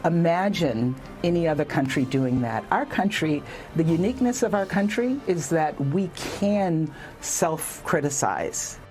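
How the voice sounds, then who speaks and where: A woman giving a formal, measured answer to an interview question. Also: deep voice, lively intonation, darkish tone, soft background music.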